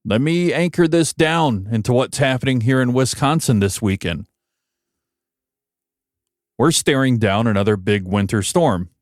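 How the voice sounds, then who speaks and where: An American man giving conversational solo commentary as a podcast host, wry and emphatic, pausing for effect. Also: mid-range voice, no room sound, clean studio sound. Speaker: podcast host and broadcast engineer.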